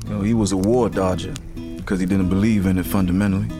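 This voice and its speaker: Campfire dreamer for audio fiction male actor: fairly low, sincere, musing, over plucked guitar. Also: level tone, fast, natural voice. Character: earnest, musing male guitar-playing sidekick. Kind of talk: serialized audio drama acting. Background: plucked guitar music.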